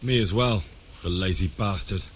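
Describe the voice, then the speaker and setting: An actor playing an earnest, plain-spoken young blacksmith's son in a video game. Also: male voice, sits low, moderate pace, a little hiss or hum, close to an everyday voice. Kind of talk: video game dialogue acting. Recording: loud music under the voice.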